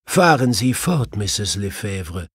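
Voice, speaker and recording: A man voicing a self-assured, commanding gentleman detective in an audiobook, decisive and reassuring. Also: lowish voice, very animated, slow, slightly rough, dry recording, studio quality, exaggerated voice.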